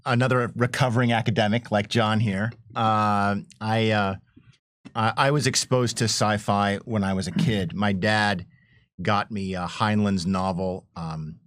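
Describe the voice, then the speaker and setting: A middle-aged man reminiscing casually as a panel guest, enthusiastic and colloquial, in halting phrases. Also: fairly low pitch, steady tone, a bit bright, no echo, studio quality.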